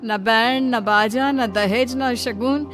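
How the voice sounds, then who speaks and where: A woman's voice: on the high side, fairly even intonation, slow, fairly bright tone. A woman voicing an ailing, middle-aged mother-in-law in a cartoon, domineering and given to complaint. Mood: indignant, possessive. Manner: complaining, indignant way of talking. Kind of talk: cartoon moral-story dialogue. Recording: music behind the voice. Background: music.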